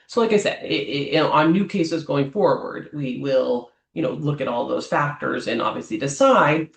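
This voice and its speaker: Man as an immigration lawyer explaining options; fairly high voice, loose off-the-cuff delivery full of "um" and "right?", recorded in a reverberant room. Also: moderate pace, creaky. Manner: talks off the cuff, ends many points with a questioning "right?"; frequent "um" fillers and restarts. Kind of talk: explanatory advice talk to clients. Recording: roomy echo.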